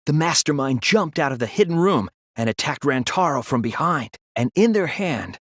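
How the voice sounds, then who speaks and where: A man's voice: fairly high pitch, animated, a bit bright, no room sound, clean studio sound. A man acting an earnest, sensitive and anxious teenage boy detective in a dramatic video game dub. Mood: grave, deductive. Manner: earnest, measured explaining that turns to strained pleading.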